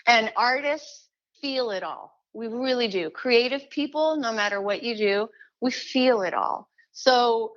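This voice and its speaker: A middle-aged woman from Chile talking as an interview guest, animated, with many restarts and fillers. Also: fairly high pitch, a little slow, highs cut off. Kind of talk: casual interview chat.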